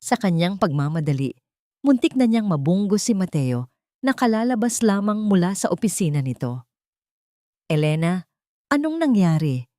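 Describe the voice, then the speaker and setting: A woman reading a scripted story in an expressive, melodramatic storytelling manner. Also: medium pitch, normal speed, no room sound, clean studio sound. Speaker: narrator. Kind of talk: dramatic scripted story narration.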